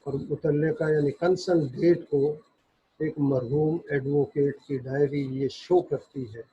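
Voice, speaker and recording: A man with a Pakistani accent giving an explanatory law lecture, reading passages out deliberately, phrase by phrase, and explaining them. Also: on the high side, dark tone, slightly rough. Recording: dull, lo-fi audio.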